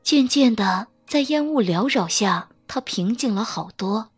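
Woman narrator, high, lively audiobook voice. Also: a little slow, slightly rough, soft background music. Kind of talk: dramatic scripted narration of a romance novel.

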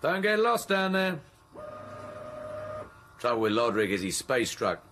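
An actor playing a griping, cheeky space radio officer in a radio drama, informal and sardonic. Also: male voice, medium pitch, big pitch swings, a little slow, fairly bright tone, a little hiss or hum. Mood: grumbling. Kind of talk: 1980s radio drama acting.

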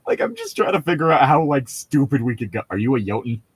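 A man's voice: mid-range voice, huge pitch swings, fast talker, slightly rough, dry recording. A man giving casual, mocking comedic commentary among co-hosts, swearing freely. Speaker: co-host.

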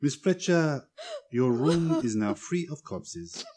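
An actor playing a shrewd middle-aged Belgian detective with a French-speaking Belgian accent, formal and precise, in a 1940s radio drama. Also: male voice, medium pitch, very animated, takes it slowly, dark tone, a little hiss or hum. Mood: assured. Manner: formal, theatrical, precise phrasing. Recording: vintage 1940s radio broadcast, music behind.

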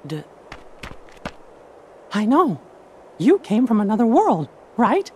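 Cartoon mouse king, falsetto squeak, very lively, unhurried, soft music. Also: a little hiss or hum, heavily acted. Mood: earnest. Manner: earnest, upbeat. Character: cheerful high-voiced cartoon mouse king, adult male. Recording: game audio with music behind.

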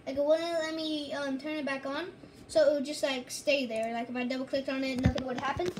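Female tutorial host, high voice, casual and halting step-by-step delivery, noticeably noisy handheld recording. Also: fairly even intonation. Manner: halting, repeats and corrects herself. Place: indoors at a home computer. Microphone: handheld phone or camera, filming screen.

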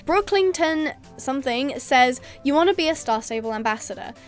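A young woman from England talks casually to her camera, laughing often and filling pauses with ums. Her voice sits high, with faint music playing softly behind her. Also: expressive. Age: young adult. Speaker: YouTuber. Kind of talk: casual vlog reading viewer comments. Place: indoors in front of a green screen. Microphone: facing camera at close range.

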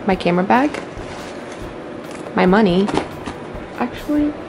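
A woman, chatting casually and haltingly to a camera in an offhand vlog style. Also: medium pitch, lively intonation, background noise. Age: 20s. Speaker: vlogger. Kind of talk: casual beach-routine vlog chat. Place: small bedroom, indoors. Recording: loud music behind the voice. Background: loud music.

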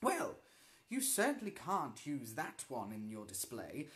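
A man's voice: mid-range voice, wildly swooping pitch, a little slow, fairly bright tone. A man voicing a stern, icy woman teacher at a witch school, speaking in a clipped, commanding way in a storybook reading.

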